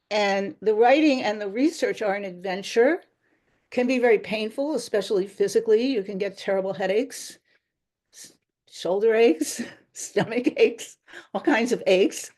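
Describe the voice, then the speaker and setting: A woman's voice: medium pitch, measured pace, long pauses, darkish tone. Woman, author guest, chatty and discursive, easy laughs. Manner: chatty, rambling, laughs often. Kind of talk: casual interview answers.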